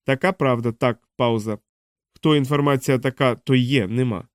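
A man voicing an indifferent machine translator in an audiobook, separating words in measured, broken fragments. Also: medium pitch, clean tone, no room sound, clean studio sound, natural voice. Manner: measured, separating each word, flat.

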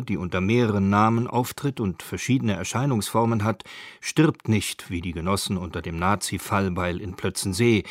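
Low-voiced man narrating, dry studio sound, music behind. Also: dry recording. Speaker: narrator of a radio feature. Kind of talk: scripted literary documentary narration.

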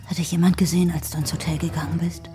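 An actress playing a criminal's anxious partner, hushed and urgent, in a crime radio drama. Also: female voice, fairly low pitch, level tone, fast, over music, natural voice. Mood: worried, urgent.

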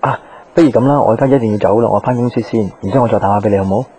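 Actor as low, mellow, hurt young boyfriend. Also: male voice, racing, slight background noise, lightly acted. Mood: restrained, piqued. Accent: Hong Kong. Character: young working man, reserved, hurt, doubting boyfriend. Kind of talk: Cantonese radio drama acting with inner monologue.

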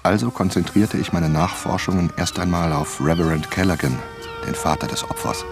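Radio play narrator: a determined writer-sleuth, low voice, clear music bed. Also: male voice, wildly swooping pitch, moderate pace, heavily acted. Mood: reflective, matter-of-fact. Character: determined male novelist investigator narrating his inquiry. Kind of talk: scripted first-person radio play narration.

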